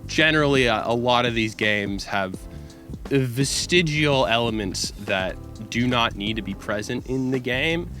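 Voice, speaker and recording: An American man from California speaking casually as an interview guest, halting and searching for words. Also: medium pitch, sharp tone, soft background music. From California, United States. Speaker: game designer, interview guest.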